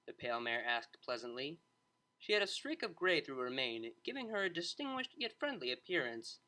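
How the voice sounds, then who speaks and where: A storybook narrator with a bright voice and a lively, varied melody, reading scripted fiction. The delivery moves expressively through the prose. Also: medium pitch, normal speed, low-quality recording.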